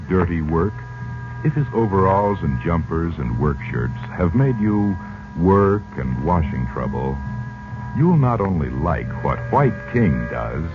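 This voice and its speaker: A man with a deep, highly animated voice announces on a muffled old radio recording. His delivery is theatrical and scripted, in the manner of a 1940s program host.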